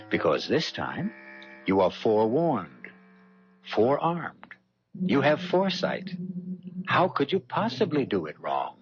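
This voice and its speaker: An actor narrating a 1970s radio mystery as a solemn, knowing host, theatrical and deliberate. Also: male voice, fairly low pitch, a little hiss or hum. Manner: theatrical, deliberate, dramatic emphasis. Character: solemn, knowing male storyteller of eerie tales. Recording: muffled old radio broadcast with music.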